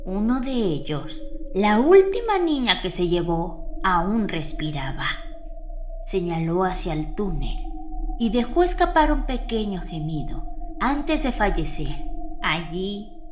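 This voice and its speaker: Casting: actress as elderly grandmother in horror audio fiction, unhurried, expressive pitch, eerie music underneath. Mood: grim, solemn. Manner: measured, dramatic storytelling with weighty pauses. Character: elderly grandmother recounting a grim horror tale.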